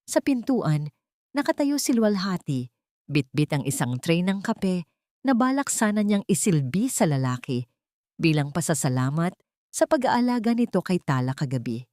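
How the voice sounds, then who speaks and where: A woman's voice: fairly low pitch, sing-song, normal speed, darkish tone, no echo, studio quality. A woman narrator reading a scripted dramatic story in a solemn, suspenseful manner.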